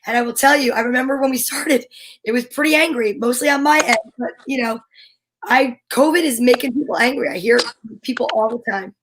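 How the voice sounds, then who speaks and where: A woman's voice: on the high side, fairly quick, low-quality recording. A woman co-host in casual, rambling, joking conversation on a livestream. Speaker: show co-host. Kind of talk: casual chat.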